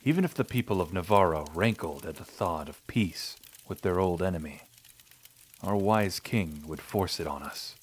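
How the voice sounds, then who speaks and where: A man's voice: sits low, animated, moderate pace, a little hiss or hum. A man reading scripted first-person narration for an audio drama.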